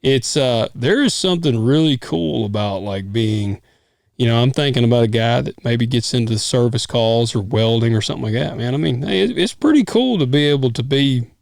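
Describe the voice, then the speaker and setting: A man's voice: medium pitch, animated, normal speed, gravelly, no room sound, studio quality. A man in his thirties, a farmer co-hosting a podcast, talking in an easygoing, rambling way with casual swearing. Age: 30s. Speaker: podcast co-host. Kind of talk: casual chat.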